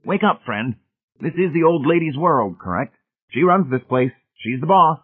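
A man's voice: on the high side, lively intonation, moderate pace, breathy edge, no room sound, narrow, tinny audio, pitched above the performer's own voice. A man voicing a crafty, jovial, beefy pal in a storybook reading, hearty and sly. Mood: philosophical.

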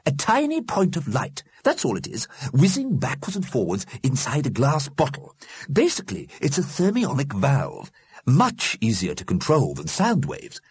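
A man's voice: mid-range voice, huge pitch swings, moderate pace, husky, no room sound, voice pushed up, full character voice. A man voicing a dead male inventor who explains things keenly and plainly, for a storybook. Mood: enthusiastic, explaining.

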